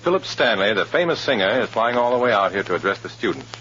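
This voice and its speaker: An actor playing a guarded, sober undercover agent, narrating and acting in an old radio drama. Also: male voice, lowish voice, big pitch swings, fast talker, sharp tone, slight background noise. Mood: smooth, persuasive. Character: guarded, tense male undercover agent posing as loyal communist. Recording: dull old radio recording, music behind.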